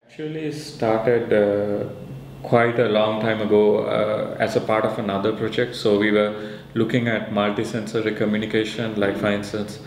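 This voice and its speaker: A man in his thirties with a Sri Lankan accent, explaining his research project in an informal interview, pausing often mid-sentence. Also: fairly low pitch, steady tone. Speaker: researcher and maker presenting a project. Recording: echoey room with faint background noise.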